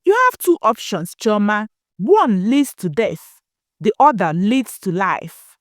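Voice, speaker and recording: A woman's voice: medium pitch, sing-song, takes it slowly, no room sound, clean studio sound. A woman storyteller voicing a solemn female spirit who gives divine commands in a folktale.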